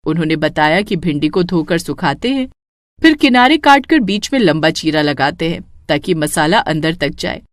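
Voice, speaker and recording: A woman narrating a scripted moral story in an expressive storytelling style. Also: low voice, normal speed, no echo, studio quality.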